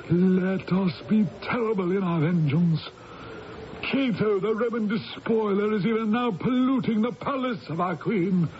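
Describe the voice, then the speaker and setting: Casting: vengeful druid high priest, declamatory and ominous, high pitch, muffled old radio sound. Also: male voice, slight background noise. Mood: fervent, inciting. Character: fanatical, vengeful archdruid of ancient Britain. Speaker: radio actor. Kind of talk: 1980s radio drama acting.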